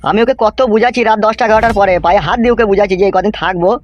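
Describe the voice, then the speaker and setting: A man's voice: high-pitched, racing, highs cut off, slight background noise, lightly acted. A man voicing a crude, henpecked young husband who complains in exasperation in a comedy cartoon, with a rural West Bengal accent. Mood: complaining.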